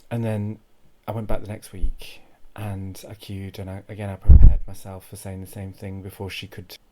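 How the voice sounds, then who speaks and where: A man with a low, mellow voice tells an awkward comic story as host. He is hesitant and deadpan, circling back over his own phrases with very little rise or fall. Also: moderate pace, rough recording.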